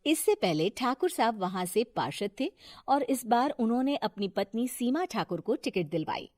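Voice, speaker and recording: A woman's voice: on the high side, big pitch swings, moderate pace, a little mellow, no echo, clean studio sound. A woman giving scripted narration as the storyteller of a Hindi cartoon moral tale.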